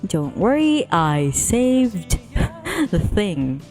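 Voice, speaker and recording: Slow-paced, giggly woman with lively pitch. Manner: giggly, exclamatory. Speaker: livestreamer host. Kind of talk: casual livestream chat while setting up and playing a visual novel. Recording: music playing behind the voice. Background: background music.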